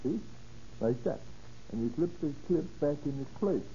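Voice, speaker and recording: A muffled, lightly hissy old radio recording features a cautious, courteous store clerk. The voice is fairly high, very soft in tone and lively in pitch as he explains things hesitantly. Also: normal speed, creaky. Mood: helpful, uneasy. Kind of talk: 1930s American radio crime drama acting.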